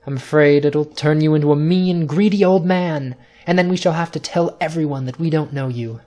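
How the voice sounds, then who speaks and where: A man's voice: a little high, normal speed, darkish tone, pitched above the performer's own voice, lightly acted. A man playing a cheeky grown son who teases his parents in a storybook drama. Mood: teasing. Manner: teasing, flippant.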